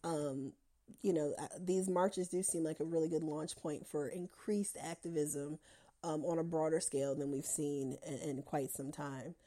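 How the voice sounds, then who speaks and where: A woman's voice: sits low, fairly even intonation, normal speed, dark tone. A woman interviewing a guest in a casual, enthusiastic way, with many fillers and restarts. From lives in Atlanta.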